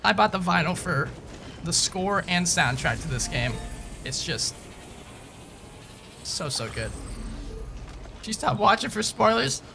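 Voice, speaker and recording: A man's voice: high-pitched, lively intonation, unhurried, creaky, long pauses, sharp tone. A man streaming a video game live, chatting casually with viewers and swearing as he plays. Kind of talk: live gameplay commentary. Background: game music.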